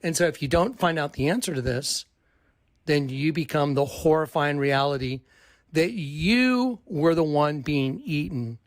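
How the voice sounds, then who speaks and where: Man, fairly high pitch, urgent preaching tone, dry close sound. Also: expressive, measured pace, no echo. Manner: urgent, warning, quotes scripture. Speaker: channel host. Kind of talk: preaching commentary.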